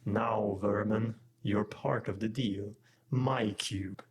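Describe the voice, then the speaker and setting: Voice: mid-range voice, steady tone, takes it slowly, slight background noise. An actor playing an arrogant, ancient robotic alien overlord in a sci-fi audio drama, condescending and menacing. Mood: cold threat.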